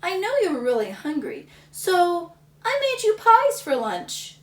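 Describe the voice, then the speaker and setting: A woman voicing an exasperated mother who scolds her son in a shrill, high voice. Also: wildly swooping pitch, measured pace, heavily acted. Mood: gentle. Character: exasperated mother of a hungry boy. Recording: roomy, slightly distant mic.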